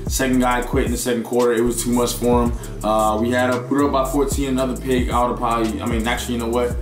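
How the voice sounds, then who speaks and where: Young man, raspy, hoarse, excitable gameplay commentary, mid pitch, roomy echo. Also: fast, over music. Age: young adult. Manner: excitable, hypes plays, chatty with viewers. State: hoarse, sore voice. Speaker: gaming YouTuber.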